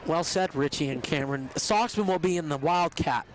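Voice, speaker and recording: Squeaky high-voiced male play-by-play caller. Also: a little hiss or hum, low-quality recording. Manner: quick, energetic calls of the action. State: under the weather. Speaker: play-by-play announcer. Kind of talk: live sports commentary.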